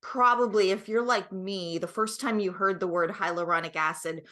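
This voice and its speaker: Woman, middle-aged, bright clear-cut voice, explaining stuff chatty and emphatic like a guest expert. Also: medium pitch, moderate pace. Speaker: guest expert, product creator. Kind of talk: conversational explaining.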